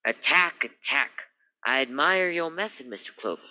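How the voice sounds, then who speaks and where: Man playing a smug, pompous schemer, piercingly bright. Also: mid-range voice, animated, moderate pace. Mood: mocking. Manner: pompous, flowery, mannered delivery. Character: smug, preening male business partner, slick and theatrical. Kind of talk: old-time radio crime drama acting.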